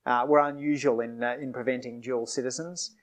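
A man's voice: mid-range voice, steady tone. Man answering with many fillers. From Australia. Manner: measured, frequent "uh" fillers and restarts. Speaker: author and politician discussing his book. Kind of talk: panel discussion answering audience questions. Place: event hall with audience.

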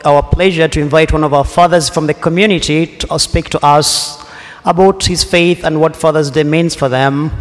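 Man introducing a church speaker, relaxed and wry, fairly high voice, long hall echo. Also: normal speed. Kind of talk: church announcement. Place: church sanctuary.

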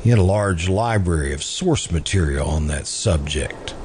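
Casting: male actor for wistful, hesitant suitor and narrator, radio drama, deep-leaning voice, lively intonation. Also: normal speed, noisy background. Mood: wistful, reflective. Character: earnest man in love with best friend's widow. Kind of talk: old-time radio drama acting, narrating.

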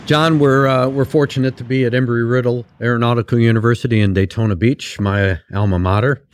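A man's voice: medium pitch, fairly even intonation, brisk. A man co-hosting a podcast in a university radio studio, chatting genially and rambling with many fillers. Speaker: podcast co-host. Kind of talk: casual podcast chat.

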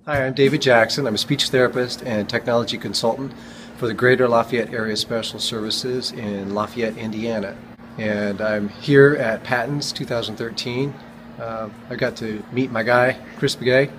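A man's voice: medium pitch, fairly even intonation, moderate pace. An American man giving a casual, unscripted testimonial as a speech therapist. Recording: noisy event background ambience.

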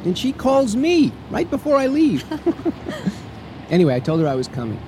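Radio drama: young husband running late, flustered, stammering, very lively pitch swings. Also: male voice, noisy background, exaggerated voice. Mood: amused, harried. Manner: hurried, stammering.

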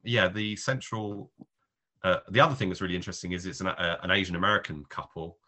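A man's voice: deep voice, fast talker, bright, forceful tone. A man reviewing films on a podcast in casual talk, thinking aloud in rambling, hesitant clauses. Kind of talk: casual spoken film review.

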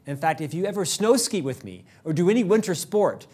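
A middle-aged man hosting a leadership show, talking fast and upbeat in a prepared monologue, with an occasional stutter on a word. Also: high voice, very animated, darkish tone, slightly rough. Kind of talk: scripted monologue to camera.

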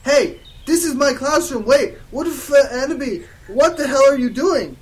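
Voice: high-pitched, sing-song, quick pace, pitched above the performer's own voice. A person reading aloud as a flustered, melodramatic goth woman professor. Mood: startled, indignant.

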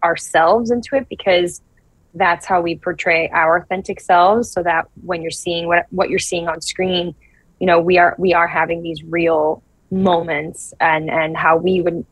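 A woman from Brazil, in her thirties, answering interview questions as an actress in a thoughtful, halting way with many restarts. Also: on the low side, fairly even intonation, dry recording, low-quality recording. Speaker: actress guest.

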